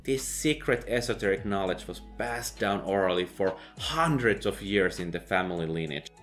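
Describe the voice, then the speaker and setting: A man narrating a sardonic book review, dry and mocking with sudden loud outbursts. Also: low-pitched, lively intonation, moderate pace, faint music behind. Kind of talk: sardonic video essay narration.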